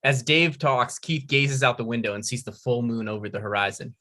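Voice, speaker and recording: A man's voice: medium pitch, brisk, pushed and bright. A man reading out scripted stage directions as narrator at a comedy table read.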